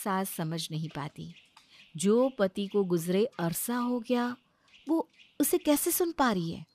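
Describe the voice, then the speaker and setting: A woman's voice: medium pitch, lively intonation, slow pace, darkish tone. A woman reading a scripted family story as a dramatizing narrator.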